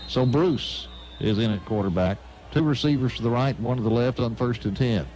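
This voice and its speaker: American guy doing live football play by play on the radio, medium pitched voice. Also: moderate pace, a little mellow, slight background noise, poor audio.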